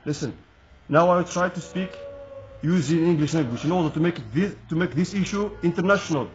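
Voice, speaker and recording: A man's voice: a little high, fairly quick, lo-fi sound, a little hiss or hum. A Moroccan man with Moroccan Arabic as his native language, making an angry, indignant street appeal to camera in English and repeating his phrases. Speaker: protester speaking to camera.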